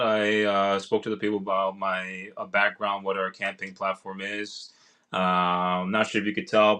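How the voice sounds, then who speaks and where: A young man with a fairly low, loud voice talks over a video call from a room at home. He's earnest and rambling, tripping into restarts and fillers as he goes. It sounds like casual livestream chat. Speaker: political candidate guest. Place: home room with vinyl records.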